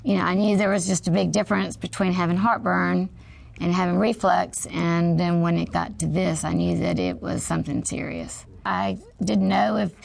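Raspy, gravelly low-voiced woman. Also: fairly even intonation, a little mellow. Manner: halting and reflective, pausing mid-sentence. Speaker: patient sharing her story. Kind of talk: personal testimony.

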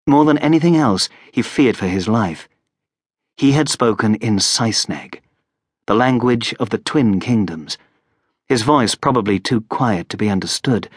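A man's voice: fairly low pitch, husky, no echo, studio quality. A man reading scripted fantasy narration as an audiobook narrator.

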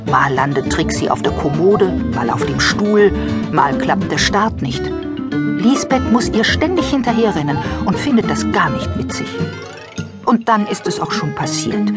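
A woman narrating a children's audiobook with expressive, scripted storytelling. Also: medium pitch.

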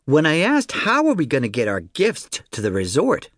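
Lively male children's audiobook narrator, dry studio sound. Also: on the high side, moderate pace, no echo. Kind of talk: scripted first-person children's story narration.

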